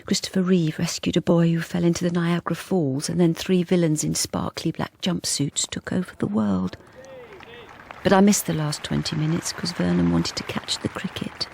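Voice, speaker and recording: An actress playing a timid, self-effacing, lonely British wife in a radio drama, confiding in a plain, hesitant, apologetic way. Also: female voice, on the low side, normal speed, vocal fry, darkish tone. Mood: wistful confiding. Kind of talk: radio drama with intimate first-person narration.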